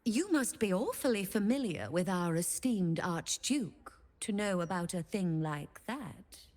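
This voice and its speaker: A woman's voice: mid-range voice, animated, measured pace, a little mellow, close to an everyday voice. Woman playing a lilting, sly devil merchant. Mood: coy. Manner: theatrical, teasing, mock-courteous. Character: sly, greedy female devil diabolist selling infernal bargains. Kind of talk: video game character acting.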